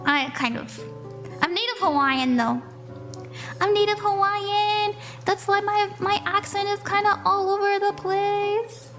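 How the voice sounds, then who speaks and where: Young woman, high voice, bubbly, chatty, laughs often, palm reading streamer. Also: measured pace, a bit bright, soft background music. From southern United States.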